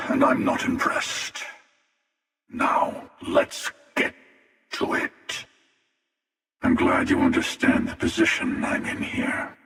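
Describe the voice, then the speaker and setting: An older man playing an ancient, sardonic and menacing starfaring hunter in a video game, with a gravelly, wry, taunting drawl. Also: low-pitched, sharp tone, distant mic, full character voice. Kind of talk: scripted video game character dialogue. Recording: cavernous reverb, voice set back from mic.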